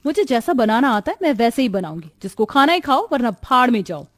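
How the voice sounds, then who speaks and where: Woman voicing a high-pitched, haughty young daughter-in-law. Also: sing-song, fairly quick. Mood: defiant. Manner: shrill, snapping, taunting. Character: haughty, sharp-tongued young daughter-in-law, spoiled and scornful.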